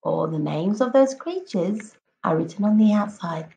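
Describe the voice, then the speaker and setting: A woman teacher reading a picture book aloud to children, expressively, addressing them directly and encouragingly with drawn-out emphatic words. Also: medium pitch, animated, creaky, warm tone, dull audio. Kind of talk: expressive children's read-aloud with prompting questions.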